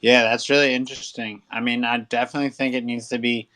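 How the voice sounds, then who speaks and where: A man's voice: mid-range voice, little pitch movement, fast, pushed and bright, a little hiss or hum. A man in a casual panel discussion, giving opinions and questioning points. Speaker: panel participant.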